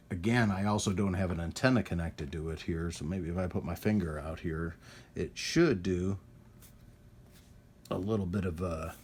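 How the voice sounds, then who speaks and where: A man talking casually in a hands-on tech repair vlog, rambling and hesitant as he thinks aloud. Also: low-pitched. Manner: rambling, hesitant, talks to himself while working. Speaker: host of a radio repair video. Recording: clear background noise around the voice.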